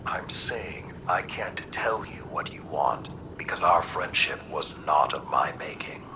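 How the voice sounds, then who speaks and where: A man playing a grave, battle-worn mentor who talks bluntly in measured, hushed tones in a video game. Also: a bass voice, expressive, whispered. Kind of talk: scripted game dialogue.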